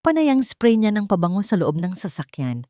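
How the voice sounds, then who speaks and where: A woman narrating a dramatic story with steady scripted delivery. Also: medium pitch, brisk, no room sound, studio quality.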